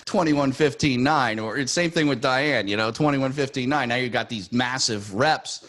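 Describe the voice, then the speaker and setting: A man's voice: medium pitch, normal speed, fairly bright tone. A man hosting a casual podcast chat, talking loosely with many fillers and frequent laughs. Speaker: podcast host.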